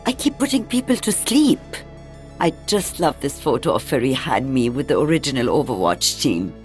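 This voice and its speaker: Casting: a seasoned elderly female soldier for a game; dry wit, world-weary, highly varied intonation, light music underneath. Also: very animated, moderate pace. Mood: wry. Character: elderly veteran female sniper, wry, weary, maternal. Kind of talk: video game character voice lines.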